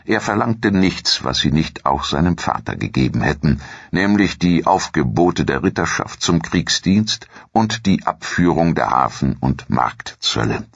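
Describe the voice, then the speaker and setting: A man narrating a scripted history documentary audiobook in a measured, formal reading manner. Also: very deep voice, sing-song, creaky, breathy edge, dry recording, dull audio. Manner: measured, formal read delivery.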